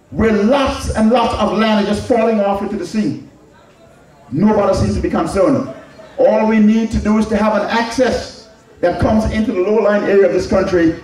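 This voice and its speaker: A man with an Anguillian accent addresses a crowd as a political candidate, his voice pitched high for a man. He speaks emphatically, repeating key phrases for weight and answering his own rhetorical questions. The sound is very echoey and picked up from far off, as in a large public venue. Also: a little mellow. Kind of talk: public campaign speech.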